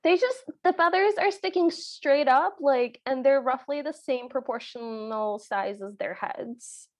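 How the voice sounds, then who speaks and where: A woman's voice: high voice, unhurried, darkish tone. A woman co-hosting a podcast, recapping a film in a casual, joking way, wry and peppering her talk with "like". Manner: wry, uses "like" often. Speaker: podcast co-host.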